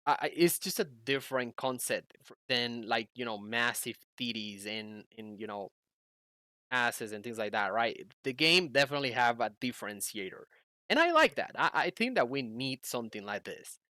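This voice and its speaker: A man's voice: medium pitch, animated, moderate pace, bright, forceful tone, no echo, clean studio sound. A young man giving casual game commentary, explaining things and thinking aloud as he plays. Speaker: gaming YouTuber. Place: indoor room at a computer. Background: game music.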